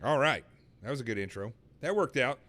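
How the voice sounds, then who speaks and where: A man's voice: mid-range voice, lively intonation, fairly quick, a bit bright, no room sound. A man hosting a live show with a sore throat, talking in a rambling, sarcastic way full of fillers. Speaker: host. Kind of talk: casual livestream monologue. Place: studio in Manhattan.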